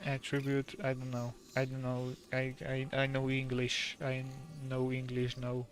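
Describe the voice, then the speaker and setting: A soft-spoken streamer with a Slovak accent talks slowly and calmly. His pitch stays very even, and faint game music plays underneath him. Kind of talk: casual gameplay commentary.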